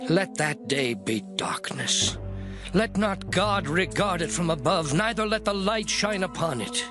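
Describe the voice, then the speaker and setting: A man's voice: high voice, expressive, unhurried. An actor playing a grieving man in anguish, declaiming mournfully in a solemn scripture drama. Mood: bitter lament. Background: music.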